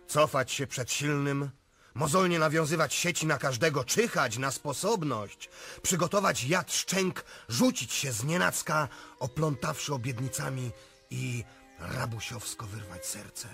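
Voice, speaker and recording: An actor playing a solemn, cynical political visionary, declaiming eloquently in a radio drama. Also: male voice, mid-range voice, expressive, a little slow, vocal fry, pushed and bright, heavily acted. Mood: grim, prophetic. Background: faint music.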